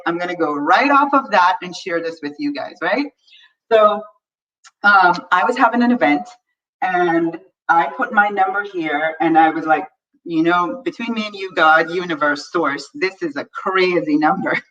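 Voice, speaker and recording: A woman of middle pitch coaching viewers in a live stream. She talks earnestly and keeps checking in with "right?". Her voice sounds a bit distant from the mic, dull and lo-fi. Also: fairly bright tone. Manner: earnest, reads viewer comments aloud, frequent "right?". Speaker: money coaching host.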